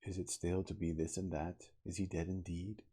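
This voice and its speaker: A man's voice: low-pitched, flat delivery, normal speed, warm tone, breathy edge, voice pushed up. A man voicing a simple, reverent monkey-man in a storybook reading, anxious and questioning. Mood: anxious, awed.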